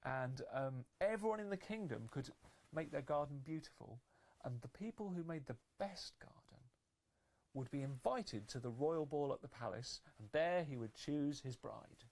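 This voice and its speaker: A woman with an unusually deep, creaky voice narrates a fairytale for children. She is agreeable and a little halting, making the story up as she goes. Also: expressive, darkish tone.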